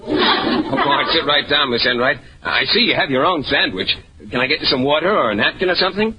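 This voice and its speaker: Earnest naive man, animated, old radio. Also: on the high side, a little fast, some chatter behind. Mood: polite, eager. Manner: earnest, boyish, polite. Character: mild, naive, earnest bashful male schoolteacher. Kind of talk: 1940s-50s radio sitcom acting. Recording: muffled, hissy old radio recording.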